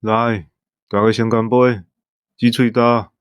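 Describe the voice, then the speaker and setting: A man's voice: mid-range voice, flat delivery, slow pace, clear, resonant tone, no room sound, highs cut off, lightly acted. A man with a Taiwanese accent playing a hospitable, hearty middle-aged village headman and swordsman in an audio drama. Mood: hearty, inviting.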